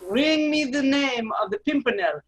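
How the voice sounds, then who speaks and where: A woman playing a menacing, coldly scheming male French agent in an amateur radio play read, insinuating and deliberate. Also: high voice, lively intonation. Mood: menacing, smug.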